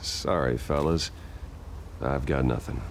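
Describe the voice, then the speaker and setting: An actor playing a guarded, brooding billionaire in a video game, a gravelly voice and a dry, understated way of talking. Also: male voice, rumbling low, slow pace, slight background noise, heavily acted. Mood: wary, dry. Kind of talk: cinematic video game dialogue.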